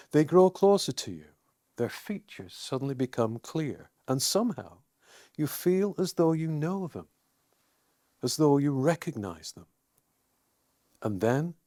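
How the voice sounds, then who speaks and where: A man leading a guided meditation, speaking in a measured, soothing way with deliberate pauses between phrases. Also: mid-range voice, a little mellow, no echo, clean studio sound.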